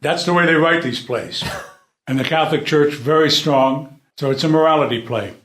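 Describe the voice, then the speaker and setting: A man, an actor, explaining his view in short, measured phrases during a casual group discussion. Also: on the high side, a bit bright. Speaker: actor in a play reading. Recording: roomy echo around the voice.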